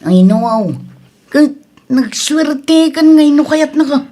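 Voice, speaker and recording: A woman's voice: high-pitched, animated, takes it slowly, mellow. A woman with an Ilocano Filipino accent playing a teasing, exclaiming villager in a radio comedy drama.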